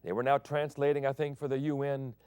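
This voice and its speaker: Dynamic preacher man, loud then hushed. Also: mid-range voice, level tone, brisk, clear, resonant tone, no room sound, studio quality. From Thailand. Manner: swings between loud emphatic declarations and soft hushed phrases. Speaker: pastor. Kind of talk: sermon broadcast.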